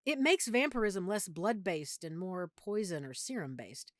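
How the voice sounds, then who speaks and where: Casting: actress as affectionate girlfriend with a vampire secret, intimate audio roleplay. Lively, swooping intonation, medium pitch, very dry close sound. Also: moderate pace, no room sound, lightly acted. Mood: playful, opinionated. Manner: chatty, playful, geeky explaining.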